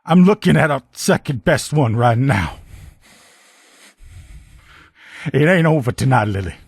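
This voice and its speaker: A man voicing a gruff, loyal military lieutenant in a video game, with blunt, profane, folksy soldier talk. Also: medium pitch, expressive, fairly quick, no room sound, clean studio sound. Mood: earnest, urgent. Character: gruff, loyal military lieutenant in power armor, protective comrade. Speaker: voice actor in a game mod. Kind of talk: video game character dialogue lines.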